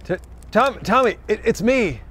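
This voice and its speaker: Stammering comic co-host, bright lively voice. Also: male voice, sits high, measured pace, husky. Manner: hesitant, stammering in the sketch, upbeat presenter patter as host. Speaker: comedy show co-host. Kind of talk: comedy sketch and sports show banter. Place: outdoors in snow beside a stadium.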